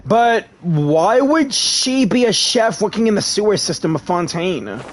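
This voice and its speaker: A man's voice: high voice, big pitch swings, a little slow, a little hiss or hum. A man live-streaming a game, casually reacting and reading dialogue aloud as he plays. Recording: faint game music behind.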